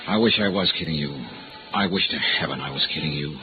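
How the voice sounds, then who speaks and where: A man's voice: very deep voice, fast, phone-quality sound. An American man playing a tough, world-weary insurance investigator in 1950s radio noir style, terse and hardboiled. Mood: terse, probing. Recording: old band-limited radio recording, loud music.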